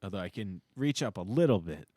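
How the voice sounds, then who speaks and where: A man chatting casually as a musician guest on a podcast, with a hesitant, halting delivery. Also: on the low side, animated, dark tone, no echo, studio quality. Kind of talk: casual chat.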